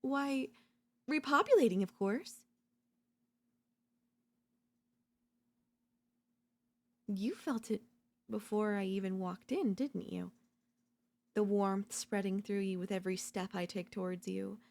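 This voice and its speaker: A woman playing an obsessive, domineering yandere in a dark ASMR roleplay, seductive and smug, slow and teasing, slipping into whispers. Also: medium pitch, gravelly, lightly acted. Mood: seductive whispering. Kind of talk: dark ASMR audio roleplay, intimate dramatic acting.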